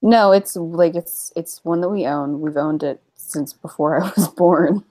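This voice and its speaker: A woman's voice: fairly low pitch, unhurried, warm tone. A twenty-year-old woman chatting offhandedly as a guest on a casual online chat show.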